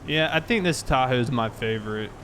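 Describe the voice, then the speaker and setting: A man's voice: mid-range voice, animated, normal speed, fairly bright tone, a little hiss or hum. An American man chatting casually and in a laid-back, conversational way while livestreaming a game.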